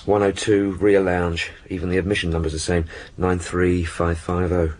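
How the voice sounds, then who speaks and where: An actor with a British accent playing a respectful, methodical police sergeant explaining things in a radio drama. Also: male voice, low voice, little pitch movement, normal speed. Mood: earnest, puzzled. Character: deferential, earnest male police sergeant, methodical.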